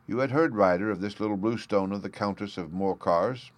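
A suave gentleman detective from a storybook, acted out by a man. He speaks precisely and knowingly, with genteel command, in a close, dry sound. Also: on the low side, a little fast, dry recording, lightly acted. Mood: accusing, measured.

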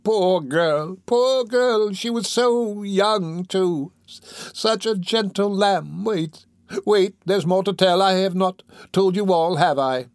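A man's voice: high-pitched, slow, dry recording, studio quality, voice pushed up. A man voicing a dying old pauper woman who rambles in guilt, groaning and agitated, in a storybook reading. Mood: rambling, mournful.

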